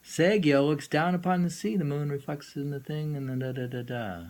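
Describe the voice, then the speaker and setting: A man reading poems aloud informally and musing on them in a halting way, often repeating lines. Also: fairly high pitch, darkish tone. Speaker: poetry reader and commentator. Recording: noisy amateur recording.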